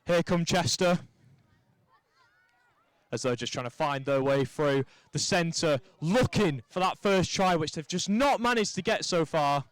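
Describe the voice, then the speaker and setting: A young man from St Helens, giving lively live rugby commentary with chatty asides. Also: on the high side, measured pace, no room sound. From St Helens, England. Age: young adult.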